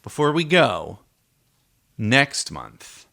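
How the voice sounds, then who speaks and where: A man with a noticeably creaky, gravelly edge to his voice hosts a podcast. He talks in a casual, chatty style at an ordinary male pitch. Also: very animated, very slow, bright, forceful tone. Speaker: podcast host.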